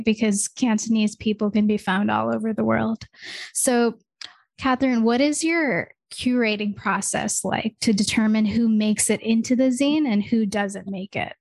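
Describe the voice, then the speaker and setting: Woman, interview moderator, gravelly vocal fry, fairly high pitch, prepared questioning. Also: no room sound.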